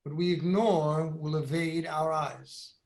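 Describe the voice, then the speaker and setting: A grave royal envoy from Greek tragedy with urgent news. His voice is high for a man, measured and insistent. The room echoes around him on a video call. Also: steady tone, a little mellow. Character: grave, urgent Theban royal envoy bringing an oracle's command. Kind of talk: dramatic reading of Greek tragedy. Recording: roomy, echoing video-call audio.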